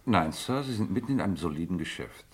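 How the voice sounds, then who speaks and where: A man playing a suave, scheming gentleman dealer in an old German radio crime drama, formal, measured and deadpan. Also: sits low. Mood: assured, dry. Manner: ceremoniously polite, measured, deadpan. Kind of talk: German radio crime drama acting, mid-20th century. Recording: noisy old radio play recording.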